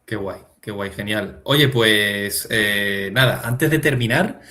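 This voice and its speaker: Lower-voiced host man. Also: slow pace, pushed and bright. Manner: brief acknowledgements, then long framed questions. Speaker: podcast host. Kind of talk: podcast interview conversation.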